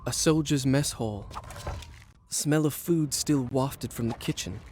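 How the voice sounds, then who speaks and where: A man's voice: medium pitch, measured pace, darkish tone, a little hiss or hum, close to an everyday voice. An actor playing a proud, sardonic young Persian prince, telling his adventure in eloquent, formal, dramatic game dialogue. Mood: wistful. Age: young adult. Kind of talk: dramatic video game voice acting and storytelling. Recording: music behind.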